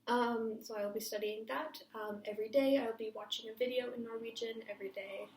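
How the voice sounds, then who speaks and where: A woman's voice: a little high, steady tone, brisk, a little mellow. Woman, casual language vlog, fillers and self-checks, recorded in an echoey room at some distance from the mic. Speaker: language-learning vlogger. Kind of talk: casual talk to camera. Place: home room, cars outside. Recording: echoey room, voice a bit far off.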